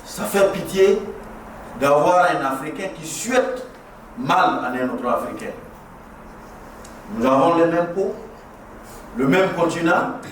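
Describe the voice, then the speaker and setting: A man's voice: on the high side, slight background noise. A middle-aged man from Burkina Faso, giving an impassioned ceremonial speech at a mosque inauguration and driving his points home through repetition. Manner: impassioned, preaching with rhetorical repetition. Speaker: guest speaker at a mosque inauguration. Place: large echoing prayer hall. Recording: very echoey hall, voice far from the mic.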